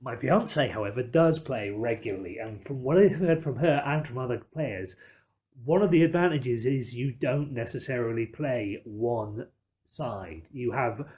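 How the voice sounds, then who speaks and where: A man hosting a podcast, talking in a wordy, measured manner with wry turns of phrase. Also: mid-range voice, darkish tone. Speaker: podcast host. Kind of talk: discursive podcast commentary.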